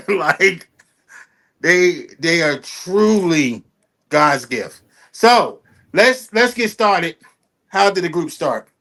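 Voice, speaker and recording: A man's voice: sits high, animated, extremely slow, pauses often, a bit bright. Rambling, enthusiastic male interview host. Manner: enthusiastic, rambling, heavy fillers and repeats. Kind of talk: casual interview.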